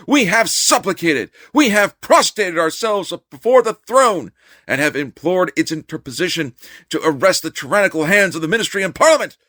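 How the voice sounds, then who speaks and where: A man acts out an impassioned American revolutionary orator of the eighteenth century. The voice is fairly high for a man, bright and forceful, captured close and dry. The delivery is declamatory and emphatic, a dramatic political address calling listeners to war. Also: no echo. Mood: grieved, indignant. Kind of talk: dramatic reading of an 18th-century political speech.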